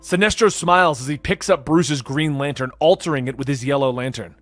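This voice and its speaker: A man's voice: medium pitch, brisk, dry recording, soft background music. A man narrating a comic-book story as a dramatic scripted read.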